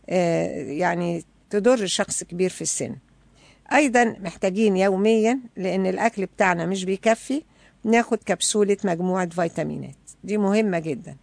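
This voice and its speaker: A woman's voice: medium pitch, vocal fry, darkish tone, no room sound. A woman with an Egyptian Arabic accent giving an educational health lecture, explaining didactically and moving between formal reading and colloquial asides. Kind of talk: educational health lecture, partly read from notes.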